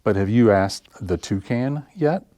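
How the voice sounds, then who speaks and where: A man's voice: lowish voice, animated, measured pace, mellow, no echo. Man reading as helpful, matter-of-fact storybook animal. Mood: helpful, apologetic. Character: assorted helpful animals, apologetic but friendly. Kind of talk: storybook characters read aloud for children.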